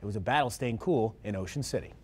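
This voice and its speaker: A man's voice: fairly low pitch, fast talker, over music. A man with an American accent reading scripted news as an anchor.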